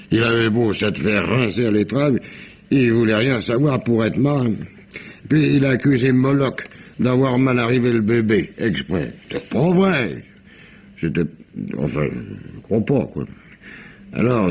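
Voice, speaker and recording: An actor playing a rough, tipsy sailor spinning a sea yarn in slangy, rambling, halting speech, in 1950s French radio mystery style. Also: male voice, lowish voice, expressive, a little mellow, slight background noise. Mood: excited storytelling.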